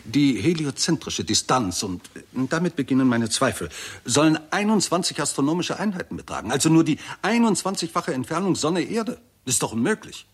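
Impatient male professor in an old radio play, husky, very animated, argues precisely. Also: fairly high pitch, brisk. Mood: doubtful, probing. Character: confident, impatient male British astronomy professor. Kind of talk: 1960s German radio drama acting.

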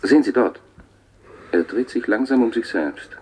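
An actor playing an upbeat television reporter who delivers declamatory, promotional broadcasts from a space station in a radio drama. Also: male voice, medium pitch, expressive, normal speed, darkish tone, slightly rough. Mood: proud, promotional.

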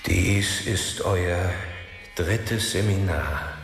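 An actor playing an unctuous esoteric self-help guru in a radio play, solemn, measured and preachy. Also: male voice, low voice, exaggerated voice. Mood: solemn, entrancing. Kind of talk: radio play seminar speech. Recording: echoey hall with music behind.

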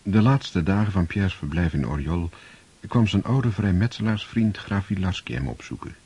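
A male narrator with a deep, very husky voice, telling the story in a steady, measured way. His tone stays even and composed. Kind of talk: scripted narration.

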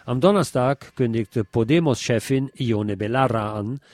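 A man's voice: medium pitch, normal speed, darkish tone, no echo. A man reading scripted news in the role of a radio news presenter.

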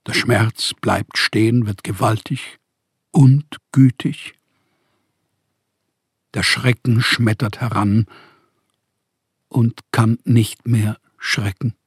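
An actor playing a weary, melancholy exiled writer in a radio play, with a husky, brooding, measured delivery. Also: male voice, medium pitch, dry recording, clean studio sound, heavily acted. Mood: weary, sorrowful. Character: weary, melancholy exiled male writer mourning lost home. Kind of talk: literary radio play monologue.

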